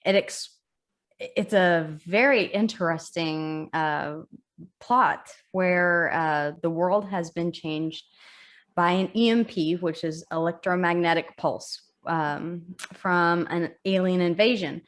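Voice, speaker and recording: A woman's voice: on the low side, slow pace. A woman hosting an author interview, upbeat and stumbling over repeated words. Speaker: interview host.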